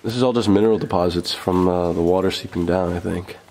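A young man casually narrating as he explores an abandoned mine, joking and excited. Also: deep voice, fast talker, slight background noise. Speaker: vlogger.

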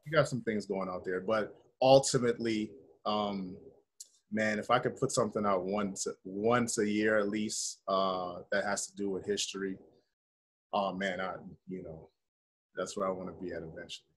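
A middle-aged American man with a husky voice, talking informally as an interview guest on a video call. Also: fairly low pitch, fairly even intonation, normal speed. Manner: informal, conversational, peppers talk with "man" and "you know". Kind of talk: casual interview chat. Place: indoor room with bare wall, video call.

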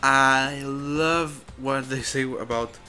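A man gives casual let's-play commentary, halting and thinking aloud as he plays. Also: medium pitch, steady tone, sharp tone, soft background music. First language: Spanish. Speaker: gamer commentating his play.

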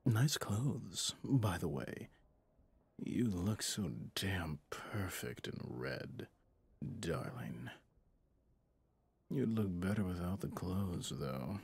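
A man playing a flirtatious, possessive mafia boss in an intimate ASMR roleplay, teasing and menacingly smooth, with a husky, breathy delivery. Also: sing-song, darkish tone, exaggerated voice. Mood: flirtatious, smug. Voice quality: low, husky, often dropping to a whisper. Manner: slow, teasing, intimate, with pet names. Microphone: close studio microphone, dry.

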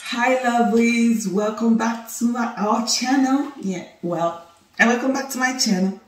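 Nigerian woman, 30s, animated and teasing, roomy far-off living-room sound. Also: fairly high pitch, unhurried. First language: Igbo. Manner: animated, laughing, playful and teasing. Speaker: co-host of a couple's channel. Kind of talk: casual chat to camera. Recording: roomy, somewhat distant sound.

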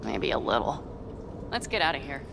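Fiery, blunt young nomad, very animated. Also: female voice, extremely low pitch, moderate pace, gravelly, exaggerated voice. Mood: warm, settled. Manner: blunt, insistent, foul-mouthed. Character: fiery, headstrong young nomad woman out for revenge. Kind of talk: video game voice acting. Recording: loud vehicle noise and game ambience.

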